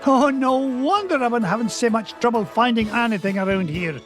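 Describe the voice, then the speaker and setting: A man playing an elderly Scottish duck in a cartoon game, a gruff but fond adventurer uncle. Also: very high-pitched, a little fast, faint music behind. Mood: fond, brisk. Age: older. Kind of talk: cartoon video game acting.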